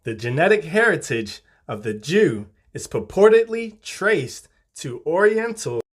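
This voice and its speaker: Male narrator, fairly high voice, formal and measured, for scripted reference-style reading. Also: big pitch swings. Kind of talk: scripted narration, reading dictionary and encyclopedia entries.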